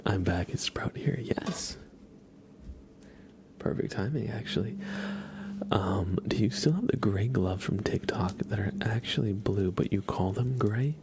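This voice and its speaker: Male ASMR host, close-miked breathy whisper, leisurely tempo, hushed and casual with frequent gaps. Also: lowish voice. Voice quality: breathy whisper with creaky voiced patches. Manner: unhurried, hushed, pausing often. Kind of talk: whispered ASMR, casual tarot card reading and chat with viewers.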